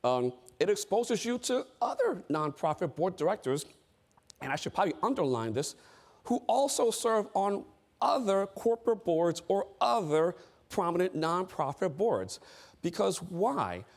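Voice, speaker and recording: A man's voice: high-pitched, big pitch swings, measured pace, vocal fry. A middle-aged man from the United States giving an emphatic seminar talk on board service, repeating his key phrases.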